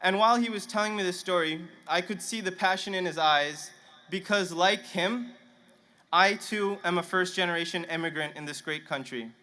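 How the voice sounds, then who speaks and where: A young man, a native Punjabi speaker, addresses a stadium crowd from a podium microphone. His voice is bright and sits a little high, and he talks with nervous, self-deprecating humor. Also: normal speed. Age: young adult. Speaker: student commencement speaker. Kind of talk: commencement speech. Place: outdoor stadium ceremony.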